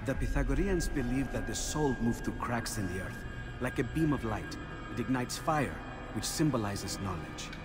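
A man playing a learned, inquisitive Renaissance inventor in a video game, with an Italian accent and an expressive scholarly manner. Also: medium pitch, normal speed, over music, lightly acted. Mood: wondering, explanatory. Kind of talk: dramatic video game acting.

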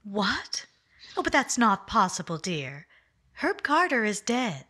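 A woman's voice: medium pitch, sing-song, slow, pushed and bright, full character voice. A woman playing a cool, scheming wife in an old-time radio mystery, coaxing and purring endearments. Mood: silky coaxing. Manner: purring, calls him darling. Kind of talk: 1940s radio acting.

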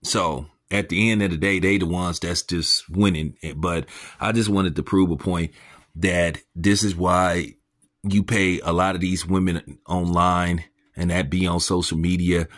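A man's voice: fairly even intonation, measured pace, a bit bright. A man hosting a commentary channel, ranting in a blunt, mocking and crude way, his voice jumping up when he is incredulous. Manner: pitch jumps up when incredulous.

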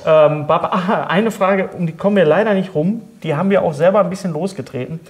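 A middle-aged man with a fairly high voice hosts a relaxed interview. He chats casually and reads questions aloud, often stumbling back over his words to repeat them. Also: quick pace. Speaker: talk show host. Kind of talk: casual interview chat, reading out fan questions. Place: indoor studio set at a table.

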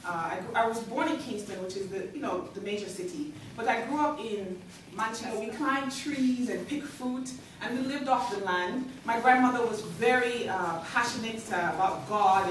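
A woman, giving a hesitant, soft-spoken artist's talk at a lectern in a gallery, with many ums and uhs. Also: a little high, moderate pace, hardly any pauses, a little hiss or hum. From Jamaica. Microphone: podium mic recorded by a far camera. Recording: echoey gallery from far off.

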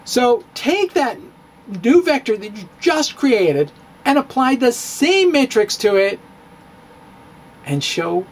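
A middle-aged man giving a dramatic, comedic reading of a physics blog, halting and theatrical with emphatic pauses. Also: very high voice, sing-song, slight background noise. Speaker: blogger, stand-up physicist. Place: indoor room with bookshelves. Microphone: handheld-style microphone on stand, close.

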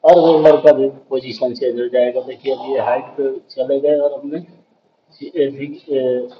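A man's voice: mid-range voice, little pitch movement, a little slow, highs cut off, slight background noise. A man hosting a welding channel, explaining a pipe weld step by step and often calling viewers "friends". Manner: repeats "dekhiye" and "doston" often, explanatory. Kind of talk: explaining welding over filmed work.

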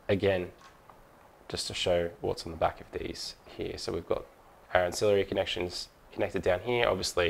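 Man, lowish voice, methodical, explanatory, informal step-by-step demo. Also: vocal fry. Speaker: product tutorial presenter.

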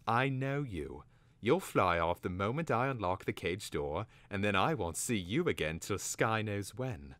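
A stubborn young lad from a storybook, voiced by a grown man. The male voice is lifted well above its deep base, earnest and insistent in plain phrases. It sounds dry and close. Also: lively intonation, unhurried, a bit bright, no room sound.

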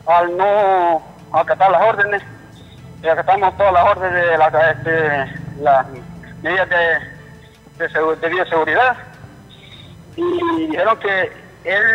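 Over a dull, reverberant phone line comes a high-voiced man with a Honduran accent. He is calling in to a news programme and sounds indignant. His speech is halting, with phrases often repeated. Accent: Honduran Spanish. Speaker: phone-in caller, relative of a patient. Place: telephone call to a TV studio.

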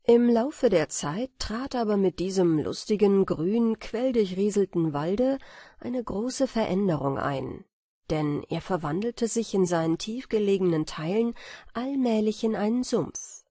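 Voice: medium pitch, expressive, a little slow, mellow, no room sound, clean studio sound. An audiobook narrator reading a fairy tale as a scripted bedtime story.

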